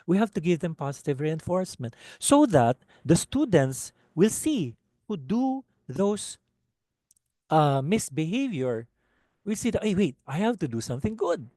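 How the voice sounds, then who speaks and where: A man with a Filipino accent and a low voice, giving a webinar lecture to teachers and often checking in with "okay?". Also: no echo. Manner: animated, repeats "okay?" often. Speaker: teacher trainer.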